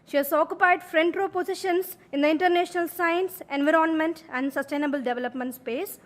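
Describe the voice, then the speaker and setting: A woman with a high, light voice opens the event by introducing the guest speaker. She reads the introduction in a formal, respectful way. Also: brisk. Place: lecture hall.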